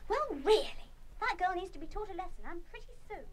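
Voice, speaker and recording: An actress playing a bossy, stern schoolgirl in charge of a dormitory in a children's radio play, brisk, scolding and sarcastic. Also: very high-pitched, lively intonation. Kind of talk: full-cast children's radio play acting.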